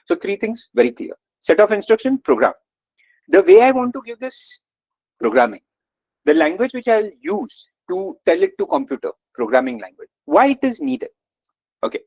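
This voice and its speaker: A man from Gujarat with an Indian English accent leads a live online lesson, his slightly husky, fairly high voice swinging widely as he coaxes and praises. The sound is dull and dry, like a webinar call. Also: dry recording. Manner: energetic, coaxing, lots of praise and repetition. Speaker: webinar instructor.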